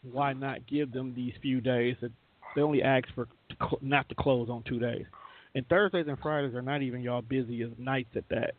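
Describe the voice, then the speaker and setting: A man's voice: medium pitch, fairly even intonation, moderate pace. A man hosting a radio show in casual, conversational group chat, explaining things informally. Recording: narrow tinny phone-like sound.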